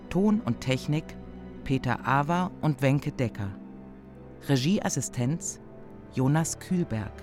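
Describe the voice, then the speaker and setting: A man's voice: medium pitch, darkish tone. A man reading scripted radio drama credits as an announcer, with music behind him.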